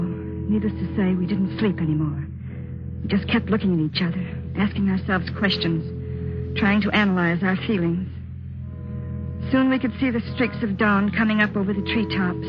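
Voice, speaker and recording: An actress playing an anxious young wife in a 1950s radio suspense drama, speaking in a tense, hesitant, dramatic way. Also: female voice, medium pitch, lightly acted. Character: anxious young wife narrating her frightening mystery. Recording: dull vintage radio, music underneath.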